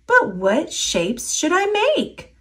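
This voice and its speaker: A sweet little American girl played by a woman reader in a storybook. She sounds eager and affectionate, speaking slowly with a lively, swinging pitch. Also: full character voice.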